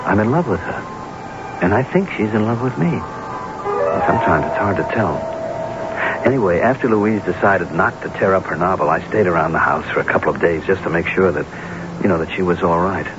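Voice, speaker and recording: An actor playing an anxious, guilt-ridden unfaithful husband in a radio mystery drama, stammering and repeating words earnestly. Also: male voice, low voice, animated, normal speed, over loud music. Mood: guilty, confessional. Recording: noisy, muffled old radio broadcast recording.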